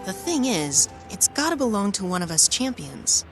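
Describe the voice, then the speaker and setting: An actress playing a sensible, cautious young adventurer in a video game cutscene, casual and a little hesitant. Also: female voice, mid-range voice, big pitch swings, normal speed, close to an everyday voice. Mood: puzzled, practical. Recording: loud music playing behind.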